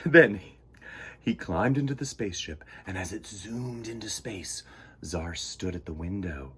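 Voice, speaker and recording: A man hosting a children's storytime, reading aloud in a theatrical, exclamatory way that moves between hushed and loud. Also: low-pitched, animated, unhurried, a little hiss or hum. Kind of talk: animated picture-book read-aloud for children.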